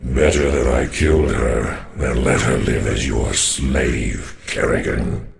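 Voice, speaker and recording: A man playing a grave, weary old alien warrior in a video game, with a deep gravelly voice and a solemn, formal manner. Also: fairly even intonation, slow pace, exaggerated voice. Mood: bitter, defiant.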